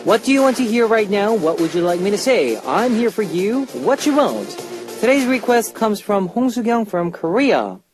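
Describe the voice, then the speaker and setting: A man's voice: high voice, sing-song, moderate pace. A man casually hosting a music radio show, chatting and reading out listener messages, often repeating phrases.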